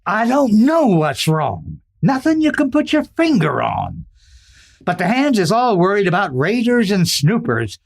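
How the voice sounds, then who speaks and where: Guy doing folksy worried old west rancher, high voice, swings all over, radio play. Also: huge pitch swings, a little slow, creaky, exaggerated voice. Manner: rustic cowboy drawl, folksy grammar. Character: worried, hot-tempered folksy male Western rancher, a father. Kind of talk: Western radio drama acting.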